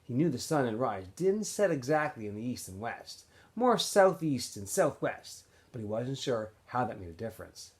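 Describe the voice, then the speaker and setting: A man with a medium-pitched voice narrates a novel for students. His intonation swings widely as he tells the story in an animated way, often letting the ends of phrases drop away softly. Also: moderate pace, few pauses. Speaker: teacher reading a novel aloud for students. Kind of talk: expressive audiobook-style read-aloud narration.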